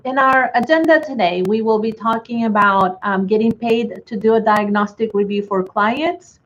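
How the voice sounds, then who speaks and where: A woman's voice: fairly high pitch, dull audio, a little hiss or hum. A woman from Chile with a slight Chilean Spanish accent, presenting a bookkeeping webinar in a friendly way with many fillers. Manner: friendly, filler-heavy, restarts often.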